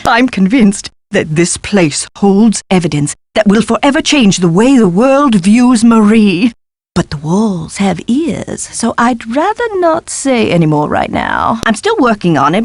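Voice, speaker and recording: An eccentric lady history scholar for an adventure game, recorded close and dry. Her pitch swoops about in a lively way as she gushes and fusses. The delivery is pompous and theatrical, with precise diction. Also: no echo. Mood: musing, then guarded, fussy. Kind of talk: scripted video game dialogue.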